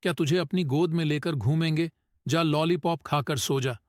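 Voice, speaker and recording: A man as a sneering, high-pitched college bully. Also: moderate pace, no room sound, clean studio sound, lightly acted. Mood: scornful. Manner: taunting, sneering. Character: mocking, threatening college bully, young male. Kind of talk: scripted audio story acting.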